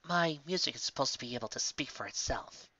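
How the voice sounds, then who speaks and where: A down-to-earth young female pony musician in a cartoon fan story, read by a man. The delivery is plain and sceptical, bright in tone, recorded dry and a little dull. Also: mid-range voice, lively intonation, fairly quick, breathy edge, dry recording. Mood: flat, modest.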